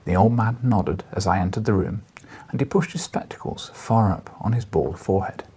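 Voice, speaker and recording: A man's voice: lowish voice, normal speed, dark tone, slight background noise. A man reading a classic novel aloud as scripted literary narration. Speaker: audiobook reader.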